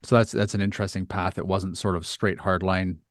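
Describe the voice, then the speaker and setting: A man's voice: low-pitched, fairly even intonation, brisk, no room sound, studio quality. A man hosting a casual, reflective discussion, musing tentatively as he puts his ideas forward. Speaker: podcast host.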